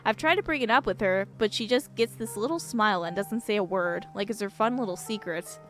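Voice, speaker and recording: An earnest young father, played by a woman in a game story, talks in a measured, sincere way, as if confiding in a friend. He sounds gentle and devout, at an ordinary conversational pitch for her voice, with music in the recording. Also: lightly acted. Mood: worried. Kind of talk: acted reading of visual novel dialogue.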